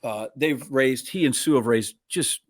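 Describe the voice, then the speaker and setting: Mid-pitched American man, casual storyteller. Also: unhurried. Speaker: podcast co-host. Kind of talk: casual anecdote telling.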